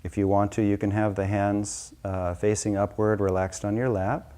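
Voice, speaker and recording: A man leading a guided meditation as a teacher, giving slow, gentle, unscripted instructions with soft-spoken pauses. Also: lowish voice, flat delivery.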